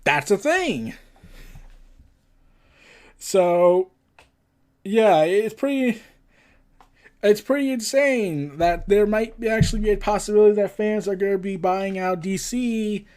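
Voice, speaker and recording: A man hosting a casual entertainment news roundup, rambling and halting, often tripping over his words and losing his thread. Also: sits high, lively intonation, a little mellow. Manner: scattered, halting, often tongue-tied and losing track. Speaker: host of a comics and entertainment news show.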